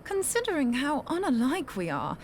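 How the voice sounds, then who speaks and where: Plucky, wry young adventurer scholar. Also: female voice, high-pitched, huge pitch swings, slight background noise. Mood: breezy, wistful. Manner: brisk, breezy, blunt. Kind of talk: conversational video game voice acting.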